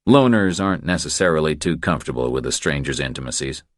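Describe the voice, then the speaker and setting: A man reading scripted audiobook narration in a measured storytelling manner. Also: very deep voice, expressive, no room sound, clean studio sound.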